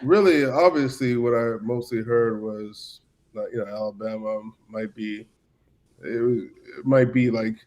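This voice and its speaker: A man's voice: mid-range voice, a little slow, a little mellow. A man in his thirties from Cameroon, a podcast guest telling stories about his past in an easygoing way with lots of fillers. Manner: easygoing, many "you know" fillers and restarts. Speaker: podcast guest, former player. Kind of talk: casual storytelling chat.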